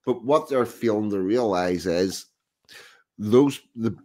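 A man's voice: lowish voice, slow pace, a little mellow. A man hosting a casual online video call chat, talking bluntly and emphatically. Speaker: host. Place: indoor room.

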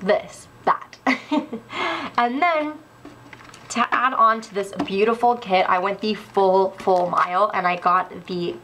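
A young woman with a slightly stuffy voice chats through her sticker haul, excited and gushing. She talks at a slow, easy pace. A faint room hum sits under her voice. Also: mid-range voice. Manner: gushing, excitable, laughs often. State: a little stuffy. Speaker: planner sticker vlogger. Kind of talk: casual haul chat. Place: indoors at a wooden table.

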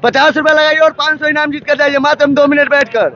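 A man running a ride stall pitches his prize deal again and again in plain words. His voice sits very high, and the outdoor recording comes through dull and lo-fi. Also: steady tone, fast talker, sharp tone. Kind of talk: sales pitch to passers-by. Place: outdoor fairground.

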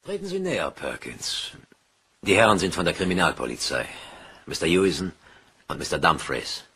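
Commanding bank director, very low and expressive, deliberate delivery, crime radio drama. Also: male voice, full character voice. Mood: measured, commanding. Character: authoritative bank director, stern but loyal to staff. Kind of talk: German crime radio play acting.

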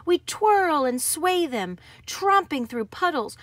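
A woman reading a picture book aloud in an expressive, dramatic manner. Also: high-pitched, slow, no echo. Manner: animated, dramatic, varies from hushed to exuberant. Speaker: storybook reader.

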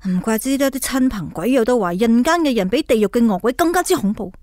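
A high, animated female ghost diva played by a woman in a comic radio play. She is vain and bossy, delivering every line with boastful drama and big swings of pitch. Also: quick pace, natural voice. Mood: bitter, resigned.

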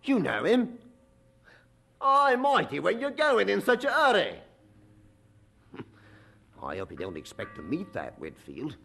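Radio drama sailor: husky, wildly swooping delivery, chatty and sly, folksy grammar. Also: male voice, high-pitched, wildly swooping pitch, measured pace, pauses often, sharp tone, full character voice. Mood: gossipy, amused. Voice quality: gravelly, husky. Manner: folksy, ungrammatical, teasing seafaring talk. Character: chatty, sly, knowing old-time working sailor.

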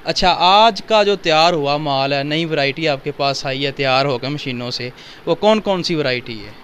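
A man's voice: a little high, fairly bright tone, clear, resonant tone. A man, interviewing a young shop owner in a cloth warehouse on a handheld mic in an upbeat, promotional manner. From Pakistan. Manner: upbeat, promotional, asks quick questions. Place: indoors, small cloth warehouse.

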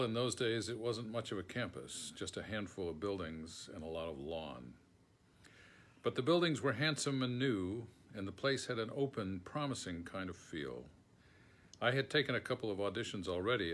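A man's voice: sits low, fairly bright tone. An older American man from New York State reading a written memoir aloud as scripted narration. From Schenectady, New York, United States. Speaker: foreword author reading aloud. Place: book-lined room at home.

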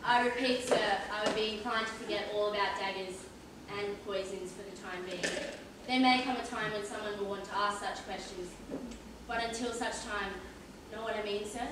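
A woman playing a brisk, dry police inspector, polite but firm, in an amateur stage play. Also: mid-range voice, steady tone, close to an everyday voice. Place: large theatre stage, indoors. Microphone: far camera. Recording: echoey theatre from far off, noisy.

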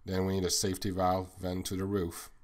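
A man's voice: low-pitched, monotone, fairly quick, darkish tone. A man from Quebec with a French-Canadian accent, French his first language, earnestly explaining a slide presentation item by item. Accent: Québécois French. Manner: earnest, plain explanatory, lists items one by one. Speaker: sales manager.

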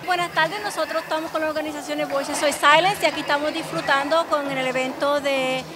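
A woman's voice: high-pitched, fairly even intonation, fairly quick. A woman representing a community organization, speaking in a friendly, informative way at an event. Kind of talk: event interview. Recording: clear crowd behind.

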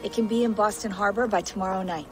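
An actress playing a calm, supportive tech operator. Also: female voice, medium pitch, fast, faint music behind, lightly acted. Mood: steady. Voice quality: calm, reassuring.

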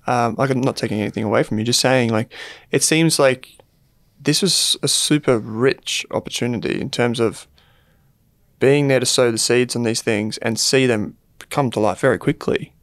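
A man's voice: medium pitch, normal speed, fairly bright tone, no room sound, studio quality. A man hosting a conversational podcast interview, feeling his way through rambling, tentative questions.

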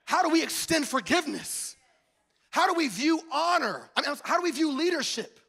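Man, pastor, sermon, forties, high voice, shouting then hushed, handheld mic, church stage. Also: very animated, moderate pace, vocal fry. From United States. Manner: swings from shouted preaching to hushed intimate talk. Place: large church stage with audience.

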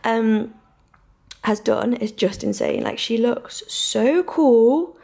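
A woman's voice: on the high side, slow, gravelly, dark tone. A woman, chatting casually and informally to camera as a vlogger, with gushing enthusiasm. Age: 20s. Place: small flat, bedroom and kitchen, indoors.

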